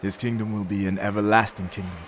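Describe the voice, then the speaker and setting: A man playing a solemn heavenly interpreter, gravely declaring prophecy in a dramatized scripture reading. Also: fairly low pitch, flat delivery, fast talker, noisy background, natural voice. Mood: solemn, declarative.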